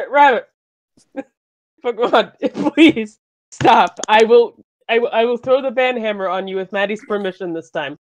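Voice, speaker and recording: High-voiced woman talking at a slow pace. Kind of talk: casual livestream banter.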